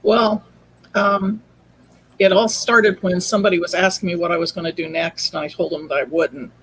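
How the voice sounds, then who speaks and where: A woman's voice: fairly low pitch, steady tone, moderate pace, breathy edge, poor audio. A woman speaking as an interview guest, telling her story in a casual, conversational way. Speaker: podcast guest, audio drama producer.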